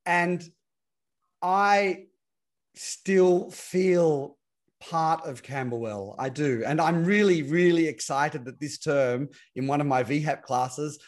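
A man's voice: on the high side, slow. A middle-aged man, an interviewer and teacher, chatting eagerly in a video-call interview. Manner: eager and enthusiastic. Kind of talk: casual interview chat. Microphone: video call webcam.

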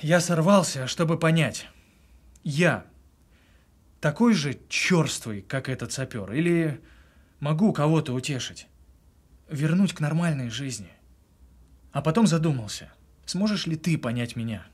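A husband in an audio drama, husky voice, halting, keeps justifying himself. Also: male voice, fairly high pitch, expressive. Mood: earnest, self-justifying. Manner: halting, pausing mid-confession. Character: evasive, self-justifying husband confessing to his partner. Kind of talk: naturalistic audio drama with murmured inner monologue.

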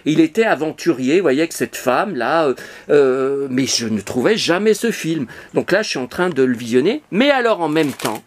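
A man's voice: on the high side, big pitch swings, normal speed. A man in his apartment talking casually to camera about his collection, digressive, with many hesitations and restarts. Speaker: collector vlogger. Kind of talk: rambling casual monologue to camera. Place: cluttered apartment, indoors.

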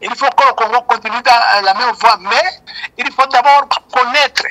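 An older man with a Rwandan accent comments on politics over a phone call. His voice is bright and forceful. He speaks emphatically and repeats his key points, as a native Kinyarwanda speaker. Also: very high voice, moderate pace. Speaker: interview guest, commentator. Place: remote call, shown as a photo.

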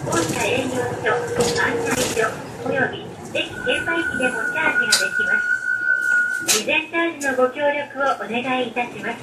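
A woman reading scripted bus announcements in a formal, polite and measured way. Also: high-pitched. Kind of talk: scripted public announcement with stop names and advertisements. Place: played over speakers inside a bus. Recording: roomy, distant, noisy bus cabin. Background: loud vehicle noise.

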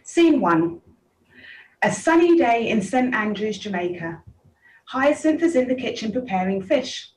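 Higher-pitched woman narrator, echoey and distant. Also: sing-song, measured pace. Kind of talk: reading stage directions, scripted narration.

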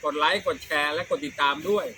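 A man's voice: fairly high pitch, fast, background noise. A middle-aged man, telling comic stories casually as a show host, chatty, repeating words and using crude slang. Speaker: host of a comedy storytelling show.

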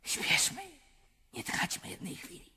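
An actress playing an imperious, lustful and volatile Russian empress in a radio drama, with a commanding theatrical manner. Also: female voice, a little slow, a little hiss or hum. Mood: urgent, confiding. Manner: commanding, theatrical, swinging between murmurs and shouts.

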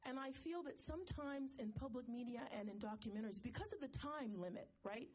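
A woman in the audience of a panel discussion, making a long, earnest comment in a measured way. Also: sits high, a little mellow, slightly rough. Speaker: audience member asking a question. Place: conference hall audience.

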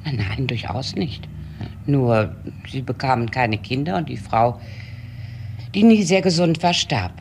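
A loyal, discreet household employee in a crime drama. His mid-pitched voice has plenty of fry, and he answers with reserve. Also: animated, a little slow, slight background noise. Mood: reserved.

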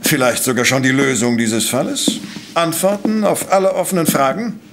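An actor playing a shrewd, self-assured professor who investigates crimes in a German audio drama, with formal, elaborate phrasing. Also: male voice, on the high side, moderate pace, creaky, a bit bright, slight background noise. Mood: musing, wry. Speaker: detective professor.

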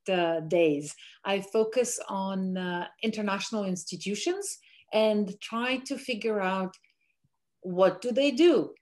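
A middle-aged woman from Bulgaria, a professor speaking as an interview guest over a video call, measured and thoughtful with frequent fillers. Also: medium pitch, a little mellow. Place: home room with window blinds.

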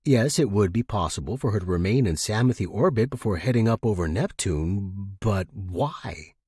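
A man with a husky voice reading first-person short fiction as an expressive narrator. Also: fairly low pitch, no room sound, clean studio sound.

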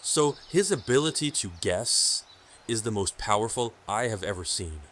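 An actor playing a solemn, stern hermit keeper of a sacred order, speaking formally in an audio drama. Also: male voice, lowish voice, lively intonation, normal speed, fairly bright tone, a little hiss or hum. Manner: grave, portentous, formal.

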